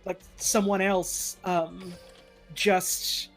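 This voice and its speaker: A mid-pitched voice in casual, rambling stream chat. The speech is halting and often acts out quoted thoughts, with music playing behind it. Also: little pitch movement. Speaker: fandub voice performer on a livestream. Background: background music.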